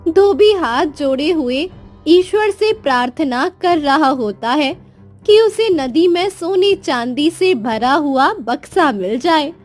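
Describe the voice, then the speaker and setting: A woman narrating a scripted children's story. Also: high voice. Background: music.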